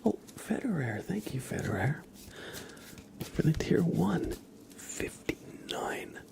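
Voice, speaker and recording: A man's voice: fairly high pitch, very animated, slow pace, creaky. A man hosting a live ASMR stream, chatting to viewers with playful, murmured role-play patter. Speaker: livestream host.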